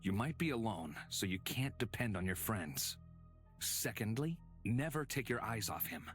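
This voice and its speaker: Low, cryptic, taunting stranger. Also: male voice, sing-song, a bit bright, faint music behind. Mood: ominous, taunting. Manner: mocking, measured, ominous. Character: cryptic, taunting male stranger, shrewd and aloof. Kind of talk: visual novel voice acting.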